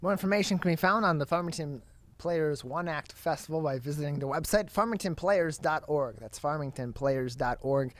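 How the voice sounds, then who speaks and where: A man's voice: on the high side, brisk, a bit bright, no room sound. A man hosting a broadcast interview, announcing details and asking questions with a polished announcer's delivery. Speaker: interview host.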